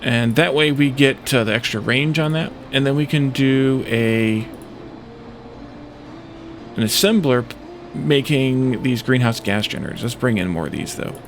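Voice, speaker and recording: Gravelly-voiced man musing through game commentary. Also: medium pitch, moderate pace, a little hiss or hum. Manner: hesitant, musing, self-questioning. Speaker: let's play host. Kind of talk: casual gameplay commentary, thinking aloud.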